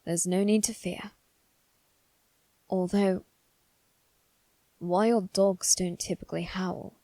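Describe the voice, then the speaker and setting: A woman voicing a haughty, anxious young noble boy in a halting, formal monologue for dramatic ASMR roleplay. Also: fairly low pitch, steady tone, warm tone, no room sound. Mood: uneasy pondering. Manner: halting, formal, self-reassuring delivery.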